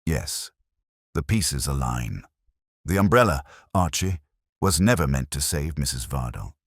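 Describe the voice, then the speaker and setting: Heavyset master detective for an audio whodunit, played by a male narrator: very deep, commanding, deliberate, dry close sound. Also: animated, no room sound, exaggerated voice. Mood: grave, decisive. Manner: grave, pompous, deliberate. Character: ponderous, commanding, heavyset older genius detective.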